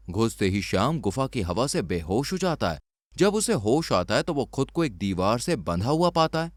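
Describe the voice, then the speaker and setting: A male storyteller narrates a scripted cartoon tale. His medium-pitched voice moves with very lively intonation, and the recording is dry and close. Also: no echo.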